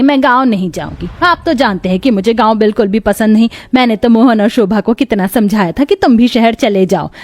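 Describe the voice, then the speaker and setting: Woman playing fussy, high-voiced elderly mother-in-law. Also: lightly acted. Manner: scolding, fretful.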